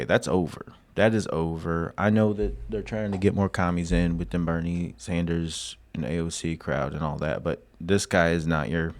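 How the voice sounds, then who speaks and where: A man hosting a casual political commentary, joking with slangy asides. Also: sits low. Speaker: commentary host.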